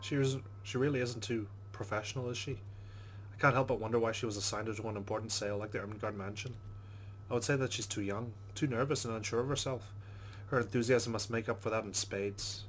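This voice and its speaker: Low-voiced man over clear background noise. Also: fairly even intonation, a little fast. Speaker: let's play host. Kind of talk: casual game commentary and reading game text aloud.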